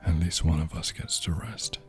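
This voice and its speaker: A man playing a calm, menacing noir crime boss. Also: low-pitched, faint music behind, natural voice. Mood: cold menace. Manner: slow, soft, partly whispered villain speech. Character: cold shadowy male crime entrepreneur, calm and menacing. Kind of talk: ASMR noir roleplay.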